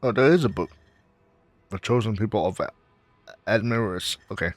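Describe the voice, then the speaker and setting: A man playing through a video game with low-key commentary, haltingly reading dialogue choices aloud. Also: no echo. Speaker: gamer recording a playthrough.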